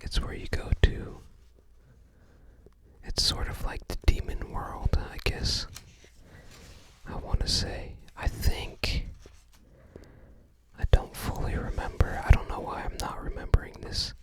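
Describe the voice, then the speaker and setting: Asmr host doing a breathy whisper, super slow and flat, kinda unsure musing. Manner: musing, unhurried, tentative. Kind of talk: ASMR, casual commentary while browsing a game guide book.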